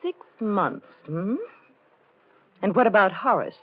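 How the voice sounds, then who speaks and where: Scornful, swooping cold-hearted wife. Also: female voice, medium pitch, lively intonation, slow pace. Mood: coolly calculating. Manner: haughty, cutting, emphatic. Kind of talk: 1940s radio melodrama acting. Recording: dull, faintly noisy old radio recording.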